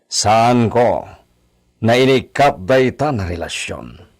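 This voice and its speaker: Proud hacienda master , male actor, medium-pitched, animated delivery, Spanish-peppered speech, radio drama. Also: slow, full character voice. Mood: cold, bitter. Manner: Spanish phrases mixed into speech. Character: proud hacienda master, indulgent with his little daughter. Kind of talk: Ilocano radio drama acting.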